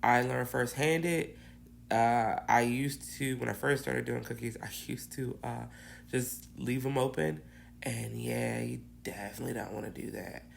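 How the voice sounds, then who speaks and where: A woman with a raspy, hoarse voice from bronchitis, casually rambling through a cookie-icing tutorial with many ums. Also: extremely low pitch, a little slow, a little hiss or hum. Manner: rambling, lots of ums and restarts. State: hoarse, getting over bronchitis. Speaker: cookie decorator.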